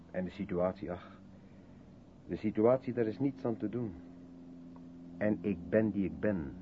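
A tinny old radio drama recording with faint noise carries a guarded, withdrawn man. He broods and questions himself, hesitant and full of self-doubt, in the manner of seventies radio acting. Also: fairly low pitch. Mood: doubting, brooding. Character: withdrawn, self-doubting mixed-race South African man, guarded intellectual. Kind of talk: 1970s Dutch radio play acting.

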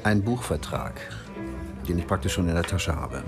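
A man's voice: deep voice, normal speed, lightly acted. A man playing a big, good-natured, overeager professor in a radio play, persuasive and insistent. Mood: eager, coaxing. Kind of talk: German radio play dialogue. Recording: music playing behind the voice. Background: music.

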